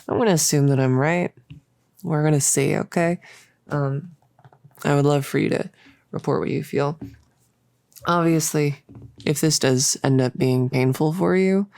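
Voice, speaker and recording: A performer playing an earnest, rambling cultist experimenter in a roleplay audio, with casual, halting, awkwardly optimistic delivery, close to the mic. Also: mid-range voice, vocal fry, a little mellow, dry recording. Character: earnest, rambling, chirpy cultist scientist, gently bossy. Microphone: close, dry studio-style microphone.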